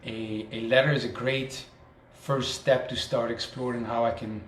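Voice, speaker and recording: A man whose first language is Dutch, speaking with a Dutch accent as a podcast co-host, thoughtful and soft-spoken. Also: mid-range voice, level tone, normal speed. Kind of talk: reflective conversational talk. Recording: echoey room with faint noise.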